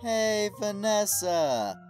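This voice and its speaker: Woman reading questions, wildly varied pitch. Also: big pitch swings, drawn-out words, a little mellow, soft background music. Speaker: reader of audience questions. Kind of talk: acted reading of questions for comic dub.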